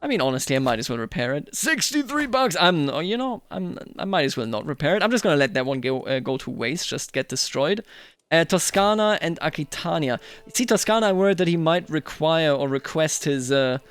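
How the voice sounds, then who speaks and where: A man with a slightly high voice hosts a strategy game roleplay video. He thinks out loud as he plays and slips into theatrical address to the game's characters. Music plays softly behind his commentary. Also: very animated, a little fast, smooth, dry recording. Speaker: gaming video host.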